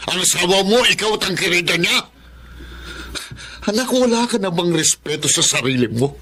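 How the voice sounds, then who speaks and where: A hot-headed, protective older dad from a Filipino radio drama. His voice is raised high and sharp, full of indignant scolding. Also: lively intonation, moderate pace, a little hiss or hum. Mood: outraged. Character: outraged, hot-tempered protective father of grown daughter.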